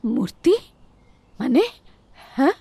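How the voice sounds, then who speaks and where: A woman's voice: high voice, animated, slow pace, mellow, slight background noise. An actress voicing an anxious older mother-in-law who frets, prays and questions with suspicion. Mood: suspicious. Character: anxious old mother-in-law hiding a secret idol.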